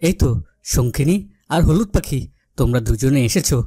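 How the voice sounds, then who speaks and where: An actor playing a worried, protective cartoon king who speaks in a formal, measured, authoritative way. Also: mid-range voice, expressive, mellow, breathy edge, no echo, studio quality. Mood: stately. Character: worried, protective fairytale king, stately and questioning. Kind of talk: dubbed cartoon fairytale acting.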